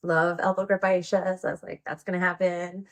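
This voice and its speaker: A woman's voice: fairly low pitch, little pitch movement, brisk. Giggly American woman, casual and slangy. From United States. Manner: informal and slangy, giggly, peppered with "like". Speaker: podcast guest, pole dance instructor and performer. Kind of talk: casual conversational interview.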